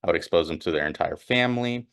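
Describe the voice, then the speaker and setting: A man's voice: low voice, lively intonation, fast talker, creaky, a bit bright, clear, resonant tone. A young man telling his own story casually, in an offhand, rambling way, with many fillers and restarts and a slightly nerdy-sounding voice. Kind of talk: casual first-person storytelling.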